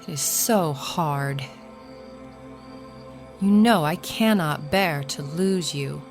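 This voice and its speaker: An anxious young woman speaks in a deep female register and takes her time over each phrase. She sounds devoted, earnest and hushed, in an audio drama with faint music behind her. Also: slow pace. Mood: worried, tender.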